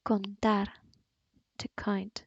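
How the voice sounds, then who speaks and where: Woman teacher with a Spanish accent, very slow and level drill delivery, dull dry recording. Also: mid-range voice, completely flat delivery, dry recording. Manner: slow, clearly enunciated word drills. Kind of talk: language lesson.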